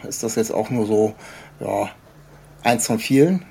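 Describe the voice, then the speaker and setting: A man's voice: lowish voice, moderate pace, gravelly, warm tone, slightly rough. A man interviewing a guest in casual chat, with a rambling, roundabout way of asking questions. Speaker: podcast host, interviewer.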